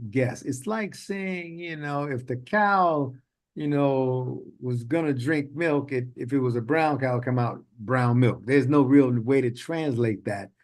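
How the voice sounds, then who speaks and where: A man hosting an interview podcast, chatting casually and wandering into long stories with frequent laughter. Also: medium pitch. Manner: rambling, chatty storyteller who laughs often. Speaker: podcast host.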